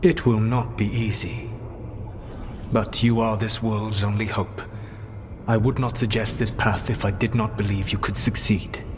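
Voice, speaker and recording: An actor playing an ancient elven mage in a fantasy game, speaking in a measured, formal, solemn and regretful manner. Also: male voice, lowish voice, little pitch movement, over music, lightly acted. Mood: solemn warning. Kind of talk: scripted fantasy game dialogue.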